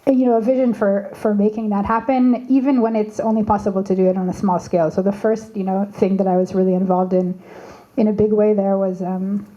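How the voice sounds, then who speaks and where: A woman from Canada, probably in her thirties, answering as a panelist, thoughtful with many pauses and fillers. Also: medium pitch, little pitch movement, warm tone, lo-fi sound.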